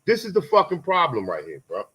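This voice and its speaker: A man's voice: fairly high pitch, wildly swooping pitch, brisk, fairly bright tone, husky. A man co-hosting a stream, ranting angrily and swearing heavily. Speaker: co-host. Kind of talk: heated profane commentary.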